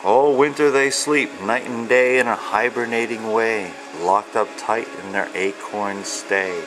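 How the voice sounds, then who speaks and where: Male author-reader for a children's picture book, lower register, very unhurried, measured storytelling delivery with pauses. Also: steady tone, over music, low-quality recording. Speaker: author and illustrator reading own children's book. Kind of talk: read-aloud storytelling of rhyming children's book.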